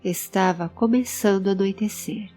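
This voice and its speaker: A woman's voice: medium pitch, fairly even intonation, normal speed, mellow. A woman narrating a scripted audio drama. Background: background music.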